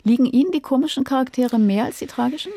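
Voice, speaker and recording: A woman's voice: high voice, fast talker, mellow, no room sound, studio quality. A woman interviewing a guest, putting composed, considered questions in a conversational way.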